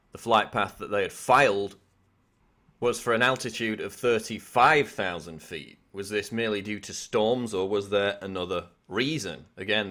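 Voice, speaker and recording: A man's voice: on the low side, a bit bright. A man delivering political news commentary in an insistent, questioning manner. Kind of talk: scripted news commentary to camera.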